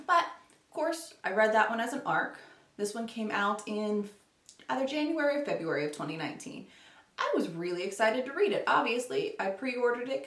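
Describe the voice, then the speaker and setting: Woman, lively pitch swings, chatty book vlogger, roomy distant recording. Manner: chatty, informal, self-deprecating. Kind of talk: casual chat to camera. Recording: roomy echo, distant microphone.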